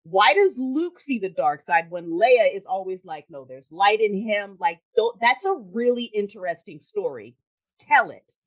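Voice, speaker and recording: Animated, sweary woman with lively pitch. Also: unhurried. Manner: animated, emphatic, blunt and sweary. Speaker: guest, audio drama creator. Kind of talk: casual opinionated film chat.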